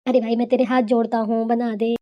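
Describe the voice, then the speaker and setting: A woman's voice: fairly high pitch, little pitch movement, quick pace, muffled recording, close to an everyday voice. A woman voicing a harried young village husband in a cartoon, beseeching and exasperated. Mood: pleading. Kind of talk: cartoon character dialogue.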